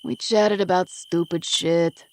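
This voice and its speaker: A woman voicing a gruff, defensive East Coast man in his thirties who swears bluntly, in an audiobook. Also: on the low side, fairly even intonation, slow, darkish tone, a little hiss or hum.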